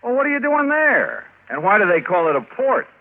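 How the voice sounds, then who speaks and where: An actor playing a breezy, confident insurance investigator with an American accent, clipped and wry, in 1950s radio drama style. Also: male voice, high-pitched, sing-song, moderate pace. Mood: wry, affectionate. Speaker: lead actor and narrator. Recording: tinny old radio recording.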